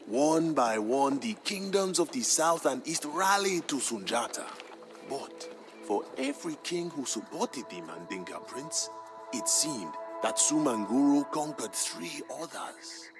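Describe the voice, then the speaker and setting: An actor playing a proud West African griot, a solemn royal bard narrating a game's story in a deliberate, grave, theatrical manner. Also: male voice, medium pitch, big pitch swings. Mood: solemn, dramatic. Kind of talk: dramatic scripted storytelling narration for a game. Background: music.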